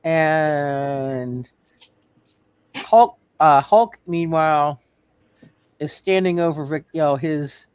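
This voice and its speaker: A man running a tabletop roleplay game, halting and often repeating his phrases. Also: fairly high pitch, a little mellow, smooth, no room sound. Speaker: game master.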